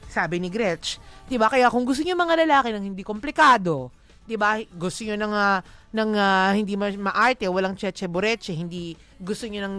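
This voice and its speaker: A woman's voice: mid-range voice, normal speed, fairly bright tone, no room sound. A woman with a Filipino accent giving conversational relationship advice as a host, emphatic and fond of rhetorical questions. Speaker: radio advice show host. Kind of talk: conversational relationship advice, Tagalog-English code-switching.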